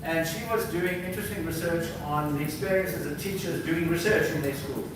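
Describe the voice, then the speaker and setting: A man lectures from slides, walking an audience through examples in an unhurried, explanatory way with plenty of ums. His voice sits a little high and comes from well away from the microphone. The hall rings with heavy echo and a steady background noise. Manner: explaining slides, reads prompts aloud, many fillers. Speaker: visiting professor. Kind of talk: university lecture. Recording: very echoey hall, distant mic, background noise.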